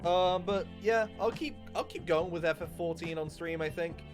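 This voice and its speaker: Young male streamer, loose rambling chat, fairly high voice. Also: moderate pace. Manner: rambling, loose, with many fillers and restarts. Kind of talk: casual livestream chat while gaming. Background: game music.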